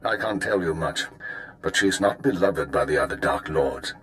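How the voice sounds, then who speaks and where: An actor playing a discreet imperial spymaster in a game, formal, measured and guarded. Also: male voice, rumbling low, lively intonation, a bit bright, slight background noise. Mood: guarded, advising.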